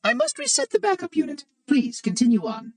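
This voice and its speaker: A man's voice: very high-pitched, wildly swooping pitch, fairly quick, darkish tone, recorded from a distance, exaggerated voice. A man playing a prim, fussy, ancient robot monitor in a video game, speaking in a formal, precise, oddly cheery way with light robotic processing. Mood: polite, brisk. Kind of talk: video game character acting.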